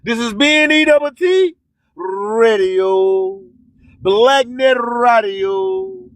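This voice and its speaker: Slow, very high-pitched man on radio. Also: very animated, dry recording. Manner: preachy, repeats phrases for emphasis. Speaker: radio host. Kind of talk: promotional radio patter with repeated slogans.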